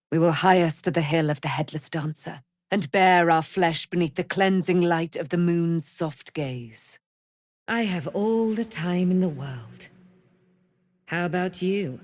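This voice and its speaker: Stately, slow-spoken immortal warrior. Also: female voice, sits low, a little mellow, no echo, clean studio sound. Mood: fond, then taunting, defiant. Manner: grand, archaic, declamatory diction. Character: proud, righteous immortal warrior daughter of a moon goddess. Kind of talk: theatrical fantasy video game voice acting.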